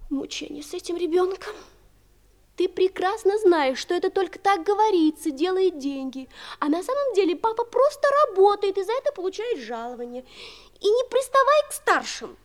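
Very high-voiced bossy little girl. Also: very animated, normal speed. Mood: bossy, annoyed. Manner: scolding, know-it-all. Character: bossy, prim young girl, older sister. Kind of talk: 1960s radio play acting.

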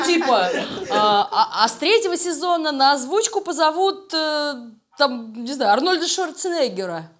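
Expressive woman chatting in an interview. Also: on the high side. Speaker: creative director of an animation studio, interview guest. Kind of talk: casual interview talk.

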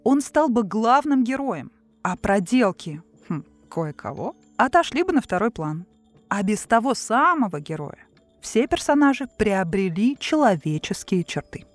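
A woman's voice: medium pitch, sing-song, a little slow, no echo, soft background music. A woman hosting a quiz game, moving between reading prepared questions and chatting with players. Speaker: quiz host. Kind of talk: game show hosting, half scripted.

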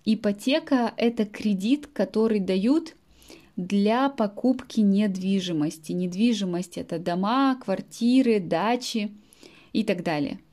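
A woman's voice: mid-range voice, unhurried, warm tone. A woman in her late twenties, a native-speaking language teacher, talks to her students in a podcast monologue and stops to explain words in simple terms. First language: Russian. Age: late 20s.

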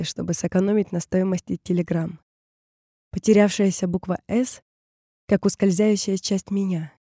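A woman reading a scripted personal story in a measured, reflective way, in short phrases. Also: fairly low pitch, mellow, no room sound, clean studio sound. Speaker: narrator of an audio performance. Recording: music bed under the voice. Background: music.

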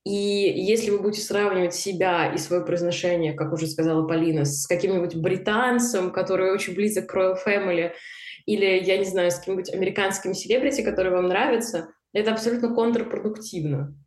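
Fast-talking woman in an echoey room. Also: medium pitch, darkish tone. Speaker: German teacher. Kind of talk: casual podcast chat.

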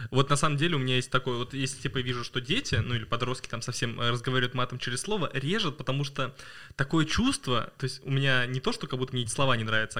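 A man hosting a podcast chats in a loose, casual way, scattering filler words. He talks very fast, his voice bright and pushed forward. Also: mid-range voice. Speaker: podcast host. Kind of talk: podcast interview.

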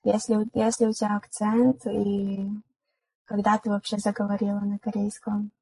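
A woman's voice: medium pitch, completely flat delivery, moderate pace, warm tone, husky, no room sound, rough recording. A young woman hosting a podcast, asking questions and then sharing her own experience. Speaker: podcast host. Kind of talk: casual chat.

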